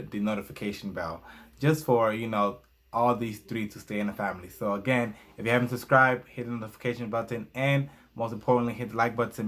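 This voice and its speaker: A young man with a fairly low voice speaks in English, his second language after Spanish. He reviews a book casually for his channel, stumbling into fillers and restarts as he reads from notes. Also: a bit bright.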